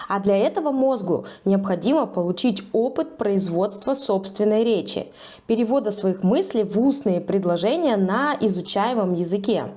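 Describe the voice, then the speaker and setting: Mellow-voiced woman teacher narrating. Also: fairly high pitch. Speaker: English teacher. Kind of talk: scripted video lesson narration.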